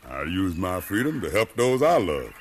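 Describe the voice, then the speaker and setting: An actor playing a proud, fearless enslaved man, firm and quietly defiant, in 1950s radio drama. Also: sits low, big pitch swings, slight background noise. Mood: resolute. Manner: deliberate, firm, unintimidated.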